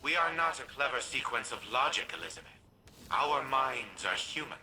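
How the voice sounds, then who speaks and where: A man's voice: mid-range voice, animated, normal speed, noisy background, lightly acted. An actor playing a pompous, imperious older male scientist in a video game, speaking in formal, precise phrases. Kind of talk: scripted video game dialogue.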